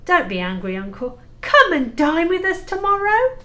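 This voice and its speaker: A cheerful young nephew, played by a woman in an audio drama, speaks in a high, very animated voice. The manner is earnest, exuberant and kind-hearted. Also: moderate pace, exaggerated voice. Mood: earnest, warm. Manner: earnest, exuberant, good-humoured. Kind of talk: amateur audio play acting.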